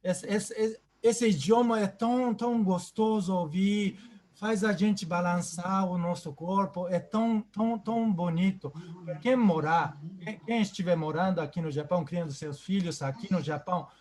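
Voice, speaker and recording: A man with a Japanese accent, a native Japanese speaker, hosting and giving effusive closing remarks in a halting way with many repeated words. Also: high voice, fairly even intonation, a little mellow. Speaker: host. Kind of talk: closing remarks on a video call.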